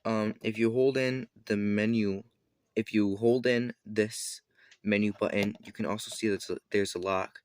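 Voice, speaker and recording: Man, slightly low pitch, casual product reviewer, unscripted, halting explanatory delivery, self-correcting. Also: fairly even intonation, clean tone, no room sound.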